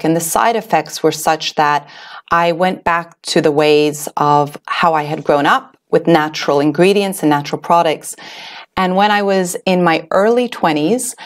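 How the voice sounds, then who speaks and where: A middle-aged woman from Switzerland hosting a webinar, telling a personal story in an earnest, confiding way with fillers and restarts. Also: on the low side. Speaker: webinar host. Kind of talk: personal story told to an online audience.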